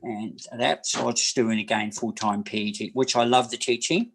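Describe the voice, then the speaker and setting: A man in his sixties from New Zealand, a massage therapist telling his life story in an interview, rambling and halting with many fillers and restarts. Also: mid-range voice, fairly even intonation, gravelly, a bit bright, breathy edge, low-quality recording. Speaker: guest, massage therapist and personal trainer. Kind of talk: casual interview talk.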